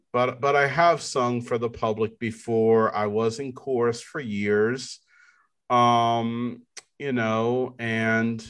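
A man with a deep baritone, co-hosting a podcast in slow, rambling casual chat full of fillers and restarts. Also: a bit bright. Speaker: podcast co-host.

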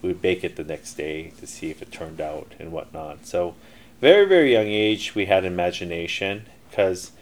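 A man's voice: sits low, normal speed. A middle-aged man telling his life story in a casual interview, rambling with many fillers and restarts. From Canada. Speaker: interview guest, entrepreneur.

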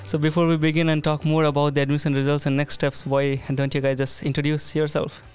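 A man's voice: fairly high pitch, monotone, fast talker, clear, resonant tone, soft background music. A man hosting a casual podcast chat, talking in a friendly way with plenty of "uh"s and "like"s. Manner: friendly, hesitant with many fillers. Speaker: podcast host.

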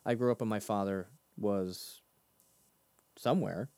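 A man co-hosting a casual faith discussion, talking animatedly and breaking into higher voices when he quotes himself. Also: unhurried, no echo. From Boston area. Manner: animated, laughs often, jumps pitch in quoted asides. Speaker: podcast co-host.

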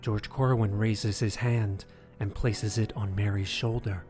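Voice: mid-range voice, fairly even intonation, measured pace, a little mellow, soft background music. A narrator reading scripted scene descriptions for an audio drama.

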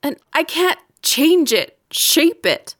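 A woman acting a weary, resentful wife in an audio drama, speaking in a measured, pointed way with quiet bitterness. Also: sits high, very animated, exaggerated voice. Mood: hurt, frustrated.

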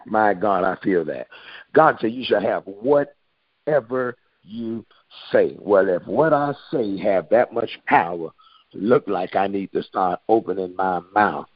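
A man's voice: fairly low pitch, unhurried, slightly rough, no echo. A man, a pastor, preaching and giving updates to his congregation over a phone call, quoting old-style scripture with emphasis. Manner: preacherly, quotes King James scripture with emphasis. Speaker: pastor and prophet.

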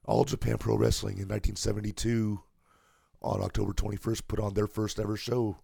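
A man co-hosting a casual wrestling podcast, hoarse today, relaxed as he works through a list of dates. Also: on the low side, a little fast, no room sound, clean studio sound. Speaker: podcast co-host.

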